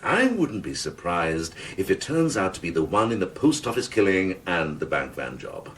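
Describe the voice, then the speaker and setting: Very deep, gravelly, pompous policeman. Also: big pitch swings, moderate pace, recorded from a distance, a little hiss or hum. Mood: smug, grandiose. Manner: florid, pompous, sardonic. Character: smug, theatrical senior police officer, mockingly pompous. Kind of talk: radio crime drama acting.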